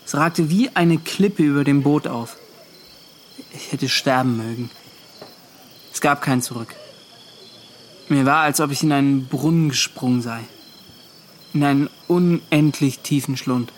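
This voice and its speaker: An actor in a radio play voicing a bitter, shaken young seaman, giving his account in halting, broken phrases. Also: fairly high pitch, a little hiss or hum, close to an everyday voice. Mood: dazed, shaken. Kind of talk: radio play acting, first-person account.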